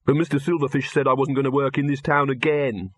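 A man's voice: mid-range voice, steady tone, quick pace, dry recording, studio quality, pitched above the performer's own voice, lightly acted. A man voicing an awkward, hesitant young man in an audiobook, earnest and bewildered. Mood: puzzled. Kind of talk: audiobook character voice.

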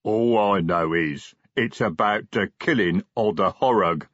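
Surly troll henchman, very slow, mumbling, husky, cowed, fantasy audiobook. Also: fairly low pitch, fairly bright tone, dry recording, lo-fi sound.

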